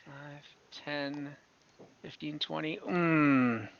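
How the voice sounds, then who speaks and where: A man running an online tabletop game as game master, often pausing and correcting himself as he rules and describes the action. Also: fairly high pitch, steady tone, vocal fry, dry recording. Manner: halting, fillers and self-corrections while ruling. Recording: dull lo-fi audio.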